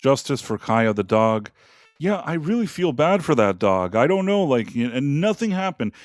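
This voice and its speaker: A man's voice: medium pitch, very animated, normal speed, no echo, clean studio sound. Rambling man on a live stream. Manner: rambling, trailing off and restarting. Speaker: co-host. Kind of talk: casual live-stream chat.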